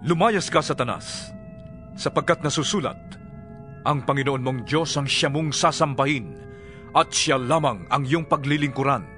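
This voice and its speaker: Man playing a firm calm teacher figure, steady declaring lines, music bed. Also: mid-range voice, moderate pace, pitched above the performer's own voice, natural voice.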